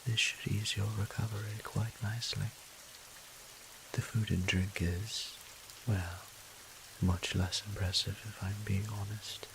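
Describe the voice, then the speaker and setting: Guy doing a soft caring vampire priest, low steady voice, old-timey polite wording, audio roleplay, hissy rainy noise behind. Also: unhurried. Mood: caring, apologetic. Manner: formal, old-fashioned, solicitous, softly breathy phrasing. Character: gentle, doting, courteous male vampire priest healer. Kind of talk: scripted roleplay audio drama, addressing the listener.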